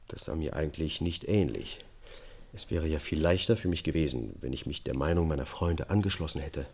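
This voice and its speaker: A man's voice: very deep voice, breathy edge, heavily acted. An actor playing a defiant, aggrieved married man in a radio drama, speaking with insistence.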